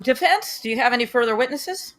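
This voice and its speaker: A woman's voice: on the high side, lively intonation, brisk, fairly bright tone, pitched above the performer's own voice. A woman playing a stern, impatient judge, formal and dry, at a screenplay table read. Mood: formal reproof. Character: stern, impatient courtroom judge keeping order.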